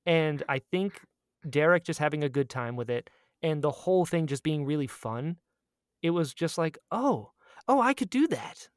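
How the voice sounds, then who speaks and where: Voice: medium pitch, animated, moderate pace, no echo. Enthusiastic, chatty podcaster, dry close sound. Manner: gushing fan enthusiasm, repeats phrases for emphasis; acts out quoted exchanges in storytelling. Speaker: podcast co-host. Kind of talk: casual chatty podcast catch-up.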